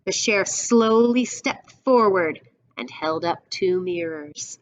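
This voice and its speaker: A middle-aged woman reading a picture book aloud expressively, with dramatic pauses and explanatory asides. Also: on the low side, dull audio.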